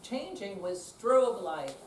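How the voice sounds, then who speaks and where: A woman's voice: medium pitch, sing-song, slow. An older woman giving a dramatic reading as an amateur performer in a senior center room. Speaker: amateur readers theater performer. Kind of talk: slow dramatic reading on stage. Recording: distant, echoey room with faint noise.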